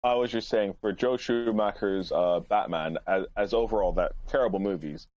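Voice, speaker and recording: A man with a deep voice joins a casual podcast discussion. His audio is somewhat muffled and lo-fi. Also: normal speed, darkish tone, dry recording. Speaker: podcast panelist.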